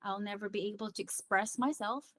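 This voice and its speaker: A woman's voice: mid-range voice, fairly even intonation, a little fast, no room sound, clean studio sound. A woman raised in the Philippines, with Pangasinan as her first language, co-hosting a radio chat show and giving thoughtful advice. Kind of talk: casual chat.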